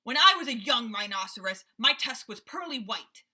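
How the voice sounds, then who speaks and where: A woman voicing a grumpy, self-pitying old male rhinoceros, loud and complaining, in a storybook reading. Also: fairly high pitch, animated, moderate pace, exaggerated voice. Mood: sorrowful.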